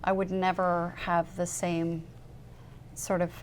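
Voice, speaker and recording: A woman's voice: lowish voice, little pitch movement, slow, slight background noise. A woman who acts on stage, talking fluently and thoughtfully about her role in an interview. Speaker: stage actress guest. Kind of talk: casual interview chat.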